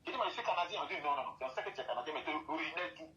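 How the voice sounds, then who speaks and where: A man's voice: fairly high pitch, animated, racing, bright, forceful tone, highs cut off. A man from Côte d'Ivoire, speaking as a guest in an impassioned talk and repeating and restating his phrases for emphasis.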